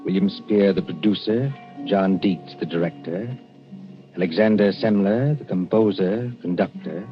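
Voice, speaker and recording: A male announcer from 1940s radio speaks very slowly and formally in a low voice. His delivery is deliberate and dramatic, with music clearly behind him on a muffled old broadcast.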